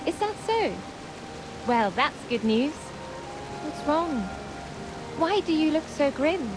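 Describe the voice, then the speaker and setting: A woman's voice: sits high, big pitch swings, takes it slowly, over music, exaggerated voice, rough recording. A woman playing a polite, caring ally in a scripted fantasy game story. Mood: concerned.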